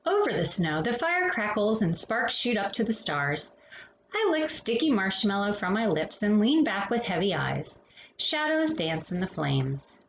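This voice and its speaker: Female storytime host for children's books: very animated delivery, hushed phrase endings, echoey room. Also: mid-range voice, measured pace. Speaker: storytime host reading a picture book aloud. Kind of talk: expressive children's storybook reading. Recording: roomy echo with faint background noise.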